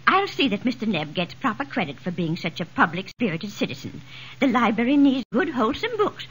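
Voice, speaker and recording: An actress playing a kindly, prim town librarian in a 1940s radio comedy, polite and effusive. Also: female voice, a little high, very animated, brisk, a bit bright, husky, heavily acted. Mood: prim, grateful. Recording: hissy vintage 1940s radio recording.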